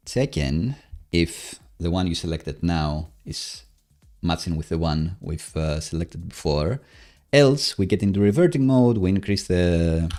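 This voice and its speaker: A man live-coding on a stream, casually thinking aloud in a hesitant, halting, self-musing way. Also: sits low. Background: soft background music, computer keyboard typing.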